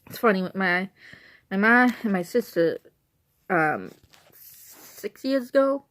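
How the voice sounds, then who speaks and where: A young woman with an Illinois accent and a hoarse voice and stuffy nose, chatting casually to a handheld camera at home and rambling as she repeats and restarts herself. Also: mid-range voice, lively intonation, slow, a bit bright. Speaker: vlogger. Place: at home on the couch.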